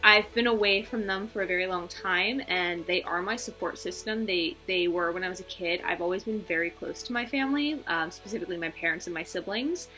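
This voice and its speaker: A woman's voice: fairly low pitch, a little fast, few pauses, sharp tone, faint music behind, rough recording. A woman in her twenties with an American accent, talking casually and chattily to her channel's viewers, breaking off into small asides. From England, United States and Belgium. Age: 20s. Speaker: video channel host. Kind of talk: casual personal vlog talk.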